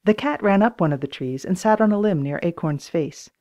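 A woman narrating a children's storybook audiobook in an expressive, acted-out reading style. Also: on the low side, fairly quick. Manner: expressive, acts out dialogue in raised voices.

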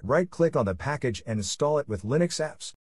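A man reading scripted software tutorial narration, giving plain step-by-step instructions. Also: medium pitch, lively intonation, brisk, breathy edge, no echo, clean studio sound.

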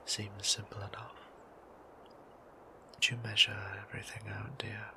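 A man voicing a gentle, formal male vampire priest in an ASMR roleplay, whispering with courtly, old-fashioned politeness. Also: fairly low pitch, completely flat delivery, measured pace, vocal fry, full character voice. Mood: tender.

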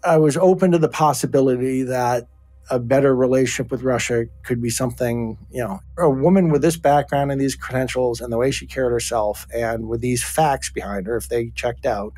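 A man's voice: medium pitch, fairly quick, darkish tone, soft background music. Blunt, rambling man in an interview. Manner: rambling, blunt, occasionally swearing. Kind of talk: interview excerpt.

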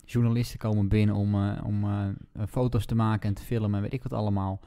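A fast-talking man chats casually as co-host of a book podcast. His voice is mellow, with a good deal of creak in it. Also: on the low side, flat delivery.

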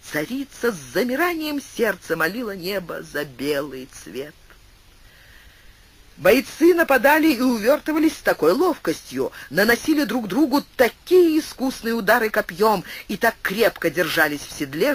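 Guy reading an old story aloud, high bright voice, really animated, rises and drops a lot. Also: husky. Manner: dramatic storytelling with wide swings in pitch and loudness. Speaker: audiobook narrator. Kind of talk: expressive literary narration.

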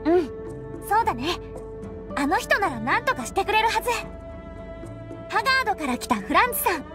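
Casting: chatty teen little sister, anime game, very high voice, animated delivery, music behind. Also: female voice, brisk. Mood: friendly, eager. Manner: lively, friendly, girlish. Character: chatty, eager teenage girl, a little sister. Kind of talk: Japanese anime game voice acting.

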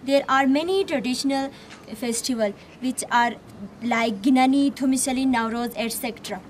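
A woman's voice: sits high, moderate pace, a little hiss or hum. A teenage girl formally addressing an audience outdoors while presenting a school theme. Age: teens. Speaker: student presenter. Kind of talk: recited presentation. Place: outdoors on a mountain terrace.

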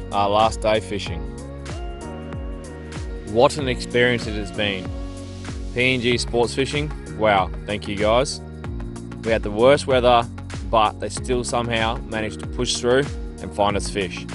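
A man's voice: fairly low pitch, steady tone, normal speed, long pauses, over music. A man narrating and signing off a fishing trip video in a casual, upbeat way. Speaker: fishing vlogger.

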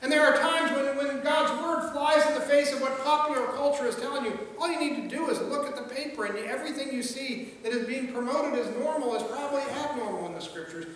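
Middle-aged male pastor, high voice, casual pulpit delivery, distant mic in a reverberant sanctuary. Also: brisk, hardly any pauses, a bit bright, slight background noise. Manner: conversational, repeats words as he goes. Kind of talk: sermon with jokes and quoted voices. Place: large church sanctuary, at a pulpit. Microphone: pulpit mic, filmed from a far camera. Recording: echoey hall, far-off mic, faint ambience.

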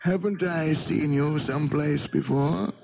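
An actor in a 1970s radio drama playing a polite, condescending gentleman executive, formal and faintly snobbish. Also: fairly high pitch, unhurried, creaky, faint music behind. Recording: muffled 1970s radio recording.

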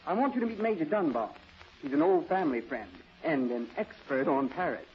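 A jovial, courtly escort played by an actor in a 1930s radio play. His voice is fairly high and very animated. The old recording sounds dull. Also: brisk, close to an everyday voice. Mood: gallant, jovial.

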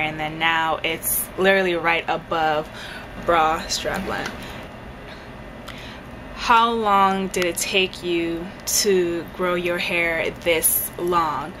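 A young woman with a fairly low voice, chatting casually to her camera about her hair. She hesitates often with ums and small laughs. The recording has a clear steady hiss underneath. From Jamaica. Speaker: beauty vlogger answering viewer questions. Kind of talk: casual Q&A chat. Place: indoors, bedroom in front of a headboard.

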